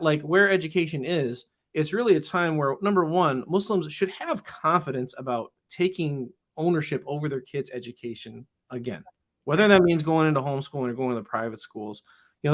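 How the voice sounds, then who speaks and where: A man who teaches in public schools, talking earnestly in an interview and often restarting his sentences with "you know". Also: a little high. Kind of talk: casual interview talk.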